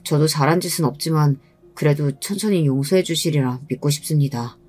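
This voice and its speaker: A woman's voice: sits low, fairly quick, a little mellow, faint music behind, pitched below the performer's own voice. A woman voicing an earnest, apologetic younger brother-in-law, polite and contrite, in a narrated family story. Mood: remorseful. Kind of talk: acted dialogue in a narrated story.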